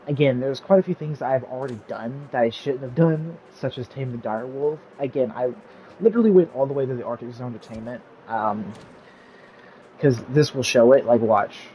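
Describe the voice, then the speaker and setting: A man's voice: mid-range voice, normal speed, warm tone, a little hiss or hum, low-quality recording. A man narrating a game tutorial over gameplay, rambling with lots of fillers, and he says he is losing his voice. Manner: rambling, filler-heavy, easily sidetracked. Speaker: gaming YouTuber. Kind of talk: casual gameplay tutorial commentary.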